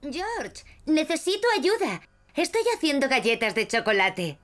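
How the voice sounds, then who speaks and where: A woman's voice: high-pitched, sing-song, measured pace, loud music behind the voice. A woman voicing a kindly, cheerful mother pig in a children's cartoon, with a Castilian Spanish accent.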